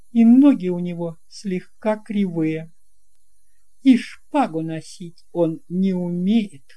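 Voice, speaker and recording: A children's audiobook narrator with a very soft, dark, mellow tone and a slow, measured pace. The reading is expressive storytelling, with every phrase given room. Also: medium pitch.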